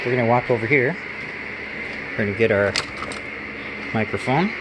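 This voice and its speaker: A man with an average-pitched voice walks through a piece of equipment in a casual, hands-on review. He is plain-spoken and unscripted, narrating what his hands are doing. The recording is very noisy, with loud static and hiss behind him. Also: lively intonation, normal speed. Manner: plain-spoken, unscripted, talking while handling gear. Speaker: gear reviewer demonstrating walkie-talkies. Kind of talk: casual hands-on product demo. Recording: loud radio static and background noise.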